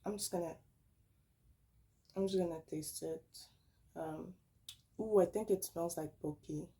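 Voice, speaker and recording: Slow-talking young woman with a raspy voice. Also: lowish voice, warm tone. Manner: rambling, self-correcting, chatty. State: tired, slightly hoarse. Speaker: snack reviewer vlogger. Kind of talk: casual vlog, taste-testing snacks. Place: at home, indoors.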